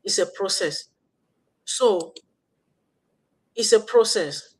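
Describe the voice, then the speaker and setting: A woman's voice: mid-range voice, animated, takes it slowly, husky. A woman with a Nigerian accent hosting an online live stream, giving a motivational talk with a preachy, repetitive manner and frequent fillers. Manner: preachy, repeats points, thinks aloud with fillers.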